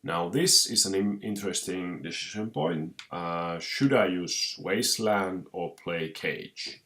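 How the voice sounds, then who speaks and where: A young man with a Finnish accent who is sick with a cold, commentating his own card game match with many pauses, restarts and throat clearing. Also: low voice, sharp tone. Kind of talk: casual gameplay commentary.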